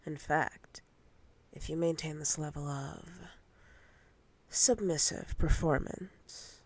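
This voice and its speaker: A woman playing a dominant, possessive female boss in roleplay ASMR, commanding and teasing in a breathy, often whispered voice. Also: deep voice, fairly even intonation. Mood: seductive, controlling. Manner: slow, deliberate, commanding.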